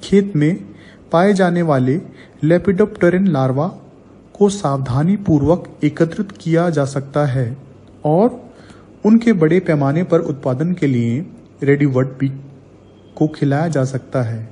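A man narrating a scripted agricultural training film as a voice-over, reading slowly and evenly in formal wording. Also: on the high side. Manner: slow, even reading with formal Hindi wording.

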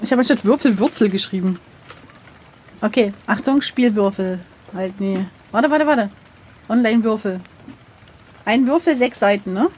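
A woman playing through an adventure gamebook, chatting casually and playfully with a comically dramatic, expressive manner. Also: medium pitch, normal speed, long pauses, slight background noise. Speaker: co-host, player of an adventure gamebook.

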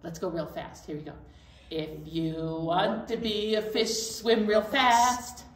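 A middle-aged woman presenting storytime to young children, animated and encouraging as she talks and leads action songs. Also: medium pitch, slow pace. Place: indoor library storytime set. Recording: roomy, distant mic, faint noise.

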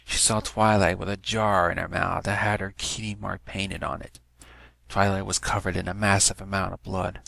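Low creaky man reading a story. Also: level tone, normal speed, fairly bright tone, dry recording. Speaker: narrator. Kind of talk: scripted story reading of fan fiction.

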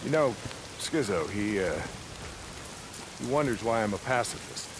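An older American man playing a weary, peace-minded leader of a survivor camp in a game cutscene, speaking plainly and haltingly. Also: on the low side, expressive, background noise. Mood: earnest, firm. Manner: halting, reflective, plain-spoken. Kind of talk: dramatic video game cutscene acting.

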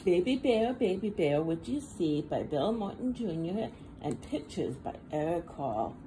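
A woman reading a picture book aloud to young children in an expressive, sing-song manner, recorded indoors at a table. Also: lowish voice, unhurried, poor audio. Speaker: children's storybook reader. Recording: faint background room noise.

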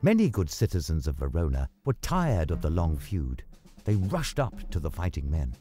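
Man narrating with very animated pitch, over music. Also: moderate pace. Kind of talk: scripted storybook narration. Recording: music behind the voice. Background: plucked guitar music.

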